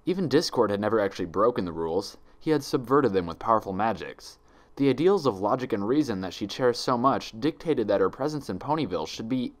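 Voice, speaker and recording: A man narrating a written story in a deliberate, dramatic storytelling manner. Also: on the low side. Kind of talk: scripted narration, dramatic fan fiction reading.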